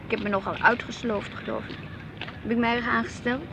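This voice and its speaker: A woman's voice: on the high side, steady tone, a little fast, creaky, noisy background. An actress playing a restless married woman who longs for adventure and presses her husband impatiently, in a radio play. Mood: sheepish. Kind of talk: radio drama acting.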